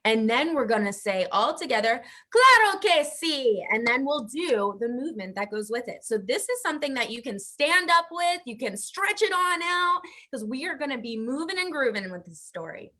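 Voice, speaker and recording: A woman's voice: high voice, big pitch swings, normal speed, few pauses, bright, forceful tone. A woman teaching bilingually at an interactive children's story time, upbeat and encouraging.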